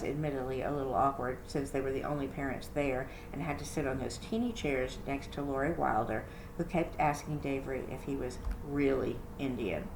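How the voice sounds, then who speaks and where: A woman reading a children's novel aloud in an expressive first-person storytelling style, like a librarian. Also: very deep voice. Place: indoor room, roomy sound. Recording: echoey room with faint noise.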